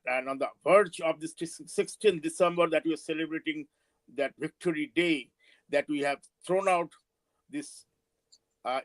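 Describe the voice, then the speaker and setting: A man in his seventies with a Bangladeshi accent and Bengali as his first language, giving a political speech with personal recollections in a halting, emphatic way. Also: on the high side, dry recording, rough recording. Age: 70s. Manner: halting, emphatic, with drawn-out words. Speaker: panel speaker at a forum.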